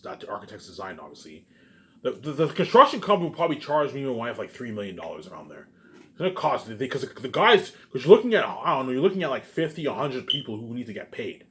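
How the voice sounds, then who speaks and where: A man giving a rambling, casual solo monologue, emphatic and repetitive, often tagging his points with rhetorical questions. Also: medium pitch. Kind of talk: rambling casual monologue about personal plans.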